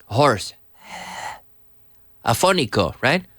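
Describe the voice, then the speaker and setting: A man with a cold and a hoarse voice, teaching English live to viewers in a chatty, slow, explaining way. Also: mid-range voice, very animated, fairly bright tone, dry recording, studio quality. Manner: chatty, reads viewer messages aloud and explains slowly. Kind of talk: live online English lesson.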